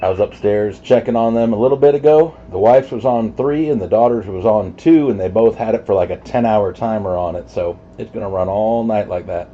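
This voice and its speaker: A man's voice: fairly low pitch, fairly quick, few pauses, dark tone. A man chatting casually over CB radio in a rambling, easygoing way, with some hesitation. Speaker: CB radio operator chatting on channel. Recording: dull CB radio audio, faint noise.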